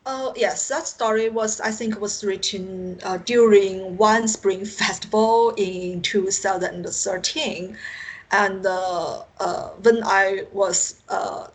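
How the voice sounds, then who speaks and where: A woman speaks English with a Chinese accent, as a native Mandarin speaker would. She is a guest in a conversational interview. Her delivery is halting, with frequent searching for the next word. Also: mid-range voice, steady tone. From China. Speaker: interview guest, science fiction writer.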